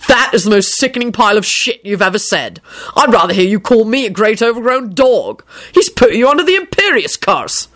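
A woman's voice: sits low, sing-song, a little fast, a bit bright, husky, exaggerated voice. A woman voicing a blunt, easily exasperated young man with a sarcastic, barking delivery in an audiobook story. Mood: disgusted.

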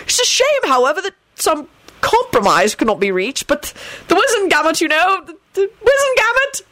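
A woman voicing a flustered, self-important male government minister who stammers and squeaks in an audiobook story. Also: big pitch swings, normal speed, pushed and bright, exaggerated voice. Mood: hesitant, flustered.

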